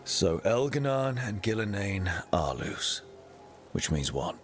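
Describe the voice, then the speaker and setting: A steady, direct adventurer with a lowish voice. He is calm and to the point, checking on his allies and asking how he can help. This is video game cutscene dialogue. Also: takes it slowly, soft background music.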